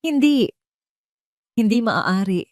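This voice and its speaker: A woman's voice: on the high side, lively intonation, takes it slowly, darkish tone, no room sound, clean studio sound, voice pushed up. A woman voicing a young pregnant wife who is heartbroken, tearful and pleading, in an audio drama. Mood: desperate.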